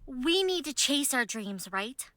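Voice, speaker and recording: A young otome game heroine, sweet and eager to please. Her voice is high and very bright, with slow, careful delivery. She flusters easily, and light music plays underneath. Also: sing-song, no echo, exaggerated voice. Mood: eager, helpful. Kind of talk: anime-style otome game voice acting.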